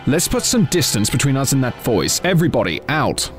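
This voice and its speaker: A man's voice: mid-range voice, very animated, fairly quick, over music, natural voice. A man playing a protective, exasperated soldier leading his squad in an audio drama, urgent and commanding.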